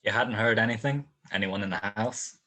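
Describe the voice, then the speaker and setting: A man's voice: lowish voice, moderate pace, pushed and bright. A man playing a dry, world-weary private detective in a radio drama, questioning in a laconic, clipped way. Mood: dry, probing.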